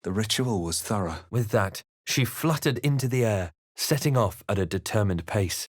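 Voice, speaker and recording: Measured fantasy adventurer hero, close dry sound. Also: male voice, lowish voice, animated, dry recording. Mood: hushed, grave. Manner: measured, theatrical storytelling delivery. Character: young male fantasy adventurer hero, earnest and guarded. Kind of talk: dramatic first-person narration and reactions in a fantasy game.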